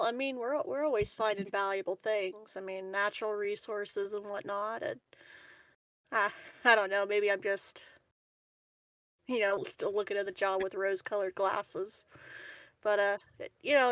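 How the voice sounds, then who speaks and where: A woman's voice: medium pitch, measured pace, dry recording, natural voice, poor audio. Woman playing rambling, upbeat colony prospector, dry sound. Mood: wistful, enthusiastic. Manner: casual, hesitant, rambling, chuckling. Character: Simone, upbeat rambling young prospector from explorer family. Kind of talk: tabletop roleplay in character.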